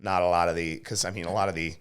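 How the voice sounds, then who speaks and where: A man's voice: deep voice, steady tone, rapid-fire, clean tone. A man chatting casually as a podcast host, giving his opinions at length in an emphatic, explanatory way and checking in with little tag questions. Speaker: podcast host and game reviewer. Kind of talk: casual opinionated review chat.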